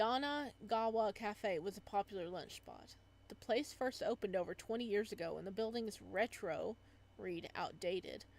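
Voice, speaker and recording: A woman doing a casual let's play, reading story text aloud with side comments and sometimes stumbling over words. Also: mid-range voice. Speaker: gamer reading a visual novel aloud.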